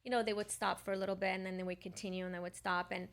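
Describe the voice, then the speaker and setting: A woman's voice: mid-range voice, level tone, racing. A middle-aged woman from Lebanon telling her personal story conversationally as a podcast guest. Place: podcast studio.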